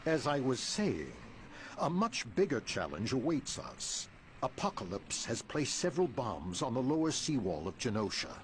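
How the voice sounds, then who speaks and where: A commanding older mutant leader in a video game, played by a man. His mid-pitched voice moves widely and theatrically, formal, stern and imperious, over faint noise. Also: sing-song, natural voice. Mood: grave. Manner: formal, measured authority.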